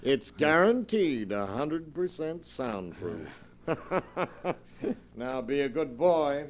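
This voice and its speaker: A man's voice: medium pitch, very animated, slow pace. A man playing a patronising, mocking asylum attendant in 1940s radio melodrama, on an old noisy recording. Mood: mocking, condescending.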